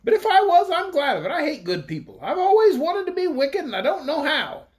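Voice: high-pitched, very animated, brisk, voice pushed up. A bedtime-story reader voicing a sulky young man who boasts he wants to be wicked. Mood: defiant. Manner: defiant, petulant.

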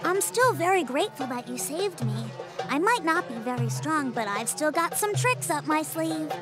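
A woman's voice: high-pitched, expressive, moderate pace. A woman voicing a sweet, polite, eager little boy fox in a video game. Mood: grateful. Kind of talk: animated video game voice acting. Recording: music behind the voice.

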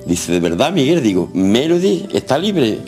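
A man's voice: mid-range voice, sing-song, a little mellow, faint music behind. A man, a police officer, recalling events in an interview, repeating himself as he goes. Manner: halting, repeats phrases. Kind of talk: spoken interview recollection.

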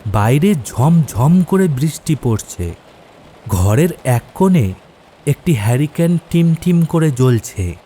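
A man's voice: a little high, very animated, takes it slowly, warm tone, a little hiss or hum. A man giving scripted, dramatic narration for a horror story.

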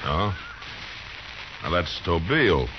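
An actor playing a steady, easygoing, laconic frontier marshal in a 1950s radio western. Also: male voice, low-pitched, drawn-out words, heavily acted. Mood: questioning. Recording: dull, hissy 1950s radio recording.

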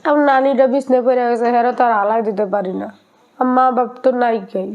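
High-voiced young Bangladeshi woman, pleading. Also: fast talker, highs cut off. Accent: colloquial Bangladeshi. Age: young adult. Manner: pleading, plaintive. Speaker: interviewee appealing for help. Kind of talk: emotional personal appeal in an interview.

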